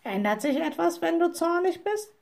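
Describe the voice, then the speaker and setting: A woman voicing a blunt, wise male talking raven from a storybook, reproachful and lecturing, with a croaky, screechy delivery. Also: very high voice, animated, normal speed, darkish tone, pitched above the performer's own voice, full character voice. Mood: soothing, admonishing. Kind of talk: storybook character voice.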